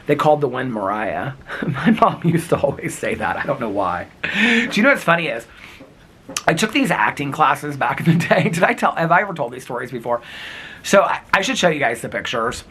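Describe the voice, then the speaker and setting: A man vlogging outdoors in wind and snow, talking casually in a rambling, giggly way with a husky, crackly voice. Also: medium pitch, moderate pace. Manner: rambling, giggly, animated storytelling. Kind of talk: casual chatty vlog. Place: outdoors in windy snowy weather.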